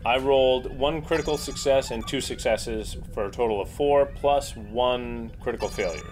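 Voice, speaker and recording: A man's voice: mid-range voice, moderate pace, darkish tone, poor audio. A man chatting eagerly as a player in a casual tabletop role-playing game, sometimes stumbling into his sentences. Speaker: player as starship captain. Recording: faint room noise.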